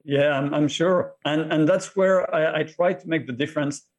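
A middle-aged man with a French accent, a podcast guest telling his own story in a halting way that often repeats words. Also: fairly high pitch, fairly even intonation. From France. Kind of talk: interview conversation.

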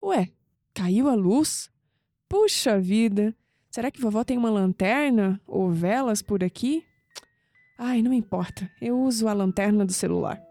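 A woman playing a wry, caring young sceptic in an expressive audio drama performance. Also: medium pitch, a little slow, no echo, studio quality. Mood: puzzled.

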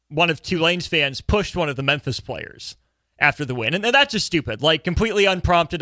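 A man's voice: on the high side, bright, forceful tone, no echo. A man with a polished radio voice hosting a conversational sports talk show.

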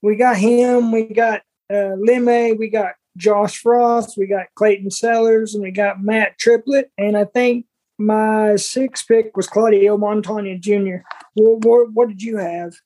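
Folksy man with a dark, mellow voice. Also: high-pitched, fairly even intonation, unhurried. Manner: folksy, colloquial. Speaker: podcast co-host. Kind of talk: casual sports commentary chat.

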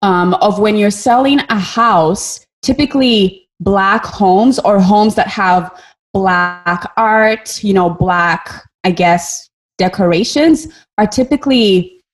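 A woman's voice: medium pitch, slow pace. A woman who grew up in Kenya talks frankly on a video call. She thinks aloud and sometimes stops to search for the right word. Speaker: discussion participant. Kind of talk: candid group video-call discussion.

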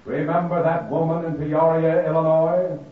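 An actor playing a solemn old spirit of the departing year in a vintage radio show, questioning in a grand, ominous manner. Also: male voice, on the high side, level tone, normal speed, close to an everyday voice. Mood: ominous, teasing. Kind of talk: 1940s radio acting. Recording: old radio, heavily echoing, distant, dull.

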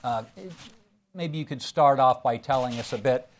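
A man moderates a panel, introducing the guest and posing a question. He talks hesitantly and pauses often. His voice is of ordinary middle pitch. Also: a little hiss or hum. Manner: hesitant, many "uh" fillers, halting questions.

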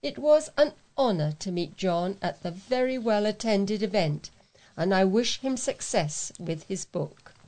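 A woman's voice: mid-range voice, lively intonation, unhurried, a little mellow. A woman with a mid-range voice reads from a local newspaper. She delivers a written reader's letter as a volunteer, following the text as it stands. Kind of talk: read-aloud newspaper letters.

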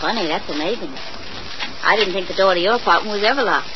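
A woman's voice: medium pitch, fast talker, lightly acted. An actress playing a detective's strong-willed, teasing girlfriend in 1940s radio drama style, with emphatic, theatrical delivery. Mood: teasing, curious. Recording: muffled, very noisy old radio recording.

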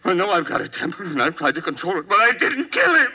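A man's voice: sits high, sing-song, quick pace, bright, forceful tone, slightly rough, full character voice. An actor playing a hot-tempered, desperate jealous husband hunted as a murder suspect in a 1950s radio drama, volatile in his delivery. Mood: desperate pleading. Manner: volatile, swinging from menacing outbursts to broken murmurs. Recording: dull, band-limited old 1950s radio recording.

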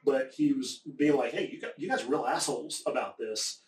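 A man from the American South talking in casual conversation, rambling and profane, often getting animated. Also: medium pitch, brisk. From American South, Appalachia. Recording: echoey room, distant mic.

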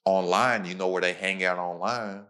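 A young man with a very low, deep voice hosts a laid-back podcast conversation. He tells stories in lively, slangy bursts, and now and then he speeds up. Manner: animated, slangy storytelling with quick bursts. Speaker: podcast host. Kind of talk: casual podcast chat.

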